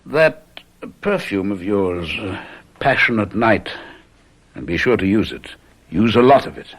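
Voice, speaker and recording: An actor playing a confident, theatrical detective in a radio mystery drama, speaking in a deliberate, emphatic, urbane way. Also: male voice, on the low side, animated. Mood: assured, sly. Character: confident, theatrical male detective lecturing his companions.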